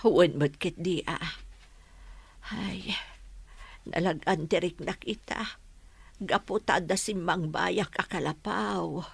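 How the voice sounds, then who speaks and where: Husky, slow, sorrowful older village woman. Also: fairly low pitch, full character voice. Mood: weary, sorrowful. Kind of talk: radio drama acting.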